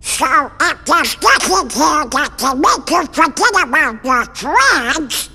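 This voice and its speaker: A blustery male cartoon duck from a video game. The voice is a high, garbled, squawking quack that swoops around and drags out its words. It rings in a long hall over music. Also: takes it slowly, vocal fry, full character voice. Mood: excitable. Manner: excitable, blustery.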